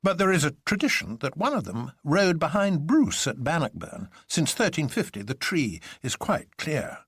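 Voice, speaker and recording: A man voicing an exuberant, unflappable gentleman in a storybook reading, speaking pompous nonsense with grand deliberation. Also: fairly high pitch, sing-song, moderate pace, breathy edge, no echo, studio quality, pitched above the performer's own voice, heavily acted. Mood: calm, lofty.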